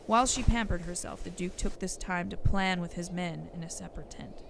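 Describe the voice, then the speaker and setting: A woman narrates a scripted audio story. Her pitch is a bit lower than most women's. Noticeable noise and ambience sit behind her voice. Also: fairly quick. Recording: clear background noise and ambience.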